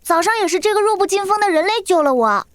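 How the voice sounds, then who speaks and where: A woman voicing a young, innocent and blunt beast-folk girl in an animated fantasy drama, with a childlike, eager manner. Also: very high-pitched, fast talker, slight background noise. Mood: excited, proud. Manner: childlike, refers to self by name.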